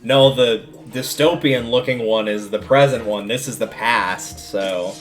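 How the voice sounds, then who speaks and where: A man with a fairly low voice talks through a video game on a livestream. He rambles casually and thinks aloud as he plays. Music plays behind his voice. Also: a little slow.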